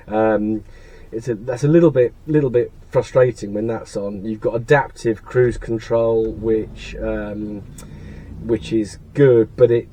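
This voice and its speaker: A British man with a husky, croaky voice from laryngitis, rambling low-key to camera from the seat of his parked van. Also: mellow, rough recording. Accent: British English. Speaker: car channel host. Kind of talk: casual rambling chat to camera. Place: parked van cab, seated.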